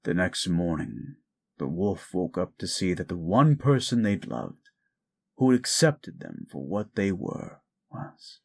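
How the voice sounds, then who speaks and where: A man playing a bitter, grieving, gruff werewolf in an audio drama, cynical and scolding. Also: deep voice, lively intonation, heavily acted. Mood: grim, wistful. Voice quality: gruff, gravelly. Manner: cynical, scolding, deliberate storytelling. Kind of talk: audio roleplay drama acting.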